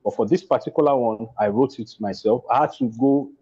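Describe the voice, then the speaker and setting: A man's voice: medium pitch, fairly quick, mellow, breathy edge. Nigerian man on a lo-fi video call. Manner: hesitant, many fillers and restarts. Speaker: playwright and producer.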